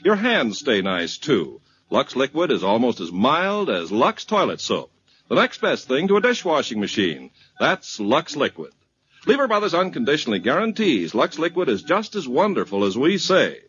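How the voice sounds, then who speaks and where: A man's voice: medium pitch, huge pitch swings, moderate pace, fairly bright tone. A man announcing a 1950s radio program and reading a sponsor's ad in an emphatic, sing-song salesman delivery. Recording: muffled 1950s radio broadcast with music.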